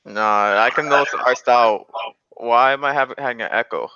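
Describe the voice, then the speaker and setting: A man's voice: mid-range voice, brisk, bright, forceful tone, dull audio, a little hiss or hum. A man hosting a podcast and chatting casually and informally about anime, giving his opinions. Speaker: podcast host.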